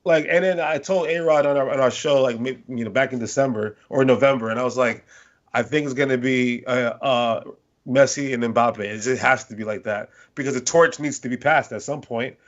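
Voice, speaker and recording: A man's voice: mid-range voice, moderate pace. A man born in London to a Nigerian family, co-hosting a sports podcast and telling a long, rambling story in casual chat. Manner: rambling, animated storytelling with restarts. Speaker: podcast co-host. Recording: slightly dull lo-fi podcast audio.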